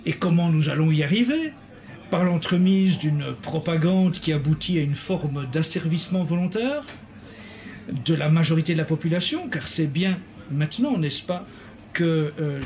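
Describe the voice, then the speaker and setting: A male interviewer putting long, formal questions to an author in a measured manner. His voice sits a touch higher than most men's. A faint background noise can be heard under his speech. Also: darkish tone.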